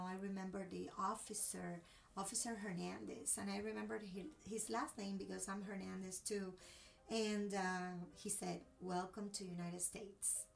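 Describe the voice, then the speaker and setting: A woman's voice: medium pitch, creaky, far from the mic. A woman from Cuba with a Cuban accent and Spanish as her first language, telling a personal story expressively in a conversational interview.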